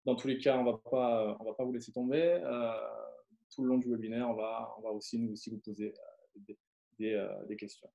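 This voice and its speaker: A man co-presenting a live webinar, hesitant and halting as he explains. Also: mid-range voice, steady tone, gravelly, mellow, reverberant, dull audio. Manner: hesitant, many fillers and restarts.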